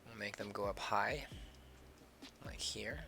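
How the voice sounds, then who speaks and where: A man live-streaming his sketching, mumbling his thoughts aloud as he draws, a little hoarse from a cold. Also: lowish voice, slow, noisy background. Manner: mumbled thinking aloud, trailing off. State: slightly hoarse, losing his voice. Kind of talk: casual talk while drawing.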